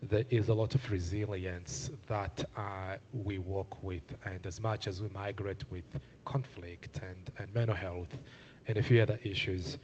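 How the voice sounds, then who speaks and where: A man's voice: low-pitched, steady tone, unhurried, darkish tone, lo-fi sound, slight background noise. A young man from Zimbabwe speaking on a panel, earnest and hesitant with many filler sounds. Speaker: community panelist. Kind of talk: panel talk.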